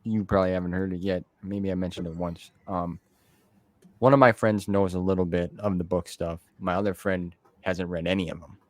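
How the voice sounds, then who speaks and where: A man's voice: sits low, fairly even intonation, fairly quick, no room sound. A man hosting an interview as a casual fan chat, talking informally and repeating phrases for emphasis. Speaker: podcast host interviewing a guest. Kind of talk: casual fan conversation about novels.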